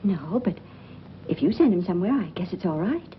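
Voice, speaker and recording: A woman's voice: fairly low pitch, animated, brisk, a little hiss or hum, natural voice. An actress playing a fretful, strict and easily offended mother in 1940s film style, on a muffled old soundtrack. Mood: worried. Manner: indignant, fussy.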